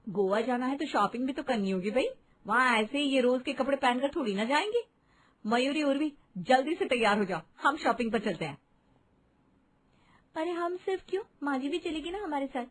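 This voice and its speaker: High-voiced, outspoken young daughter-in-law played by a woman. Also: expressive, moderate pace, low-quality recording. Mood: cheerful eager. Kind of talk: Hindi moral-story cartoon voice acting.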